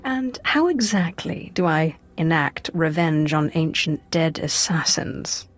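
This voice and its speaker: A woman's voice: sits low, expressive, slow. A woman playing a self-assured Sith in a video game, composed and coolly persuasive. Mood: cool, inquiring. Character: poised, self-assured female Sith, smoothly charming and persuasive. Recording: music behind the voice.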